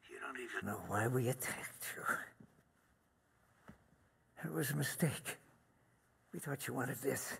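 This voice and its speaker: An actor playing an elderly, contemptuous crime boss in a video game, condescending and theatrical. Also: male voice, mid-range voice, unhurried, gravelly, long pauses, fairly bright tone, a little hiss or hum. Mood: scornful. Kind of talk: video game cutscene acting.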